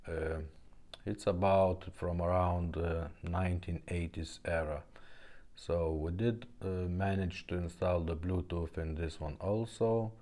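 A man giving a casual, unscripted product demonstration in a halting, low-key way, explaining step by step. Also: fairly even intonation, warm tone. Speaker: presenter demonstrating a restored car radio.

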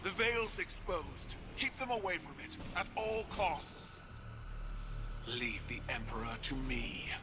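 A woman's voice: low voice, lively intonation, slow pace, background noise. A woman playing a proud, imperious alien warrior empress in a video game, declaiming grandly and with command. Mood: grave, urgent. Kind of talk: dramatic video game acting. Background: loud music.

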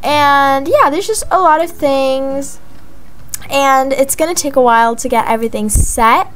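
A young woman voicing a chatty, excitable young mother in a gaming roleplay vlog, gushing and drawing out words for emphasis. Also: sits high, a little slow. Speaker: video creator voicing her game character. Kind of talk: voiced life-simulation roleplay vlog narration. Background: background music.

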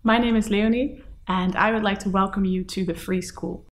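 Young woman host talking to camera, gentle earnest, plain room. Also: mid-range voice, fairly even intonation, moderate pace. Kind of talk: casual talk to camera.

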